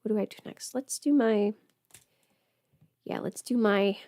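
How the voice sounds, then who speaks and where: A young woman with a mid-pitched voice talks casually to her livestream viewers. She rambles and breaks off her own sentences in an informal, unscripted way. The sound is dry, with very little room in it. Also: unhurried, warm tone, no room sound. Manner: rambling, self-interrupting, informal, chatting to viewers. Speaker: livestreamer and audio performer. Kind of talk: casual livestream chat while putting on makeup.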